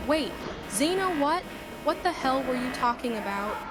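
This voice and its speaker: A woman's voice: high voice, lively intonation, normal speed, music in the background. A woman playing a rattled, blunt survey crew worker in a sci-fi horror audio drama. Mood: bewildered.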